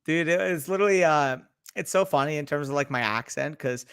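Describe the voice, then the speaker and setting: A man's voice: a little high, brisk, fairly bright tone, smooth, dry recording, studio quality. A young man with a Canadian accent, co-hosting a casual sports podcast and trading swear-laced banter. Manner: swearing, laid-back banter. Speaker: podcast co-host.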